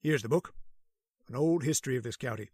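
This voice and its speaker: A man acting a calm, learned hospital doctor in a radio horror drama, speaking in a measured, authoritative way. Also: medium pitch, slightly rough, no room sound, close to an everyday voice. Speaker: voice actor.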